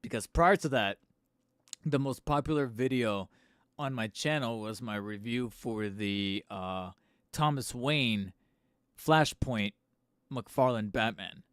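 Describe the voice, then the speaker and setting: Tired slightly hoarse guy rambling on his stream, close mic, dry, no room sound. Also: medium pitch, slow, no echo. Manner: rambling, self-mocking, with fillers and restarts. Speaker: channel host streaming live. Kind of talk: casual live-stream chat. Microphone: studio microphone close up.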